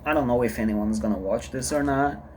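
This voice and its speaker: A man in his thirties from Brazil, a native Portuguese speaker with a Brazilian accent, talking to camera in a confessional solo vlog, halting and heavy, often repeating himself. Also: mid-range voice, a little hiss or hum. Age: 30s. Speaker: channel creator. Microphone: camera recording himself.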